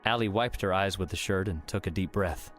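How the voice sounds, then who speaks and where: A man's voice: sits low, brisk, a bit bright. A man narrating a scripted audiobook story, with music playing underneath.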